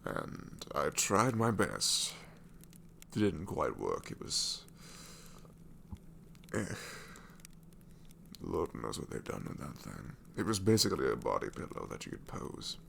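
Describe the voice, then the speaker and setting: A man voicing a sassy male shadow demon in an ASMR roleplay, snarky and rambling with soft whispered asides. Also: low-pitched, very animated, slow pace, creaky, pauses often, a little hiss or hum, exaggerated voice.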